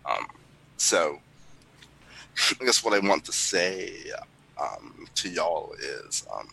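A man giving a halting church testimony, pausing often with fillers. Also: deep voice, sing-song, creaky, bright, forceful tone, breathy edge, poor audio. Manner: hesitant, many pauses and fillers.

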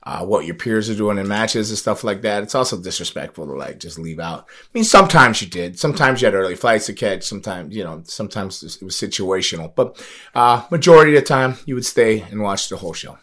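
Laid-back rambling man, low voice. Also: expressive, brisk, fairly bright tone. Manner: laid-back, rambling with many restarts and fillers. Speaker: podcast host and former wrestler. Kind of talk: casual interview chat.

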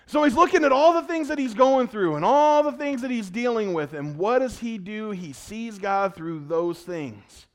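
A man preaches to his congregation in a conversational tone. His voice sits fairly high for a man. He asks the crowd questions and swings between loud, urgent lines and hushed ones. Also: lively intonation, moderate pace, few pauses. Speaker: pastor. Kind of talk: sermon.

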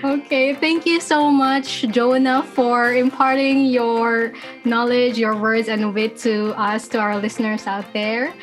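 Slow, hesitant Filipina podcast host. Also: female voice, a little high, fairly even intonation, soft background music. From Philippines. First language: Filipino language. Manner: hesitant, many fillers and restarts, giggly. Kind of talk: casual interview chat.

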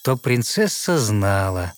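A man narrating a fairy tale in verse, with measured, rhythmic storytelling. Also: lowish voice, sing-song, no room sound, faint music behind.

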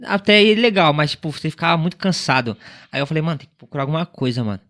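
A twenty-year-old man with a São Paulo accent, telling his story as a podcast guest in slangy, animated casual chat. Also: on the high side, racing. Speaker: podcast guest, delivery cyclist.

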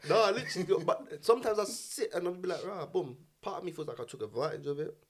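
A man's voice: sits high, animated, quick pace. A man in a casual group chat, using slang and often checking that listeners follow him.

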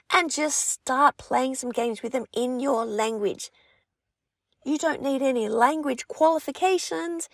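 A woman's voice: sits high, gravelly, no room sound, clean studio sound. Upbeat Australian woman coaching tutors. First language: English. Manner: upbeat, reassuring, emphatic. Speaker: language session coach. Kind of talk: encouraging talk to aspiring tutors.

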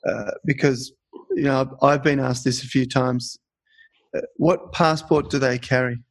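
A man's voice: mid-range voice, a little slow, no room sound, rough recording. A man hosting an interview, chatting casually and telling a joke story. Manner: relaxed storyteller, tells jokes. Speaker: podcast host.

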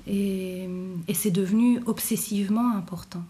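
A woman from Brussels, a university teacher speaking thoughtfully in an interview, pausing often with fillers. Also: medium pitch, warm tone, slightly rough. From Brussels, Belgium. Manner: thoughtful, hesitant, many pauses and fillers. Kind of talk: reflective interview testimony.

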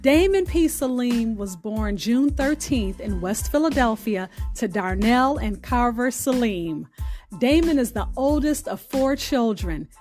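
Woman, high voice, radio host, deliberate announcer phrasing, scripted intro, music behind. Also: lively intonation, darkish tone.

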